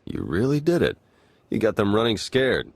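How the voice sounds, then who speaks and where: An actor playing a wry, easygoing spy and hacker in a video game, talking in a casual, dry way. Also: male voice, fairly low pitch, wildly swooping pitch, normal speed, creaky, no room sound, heavily acted. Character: wry, easygoing male spy and slicer ally.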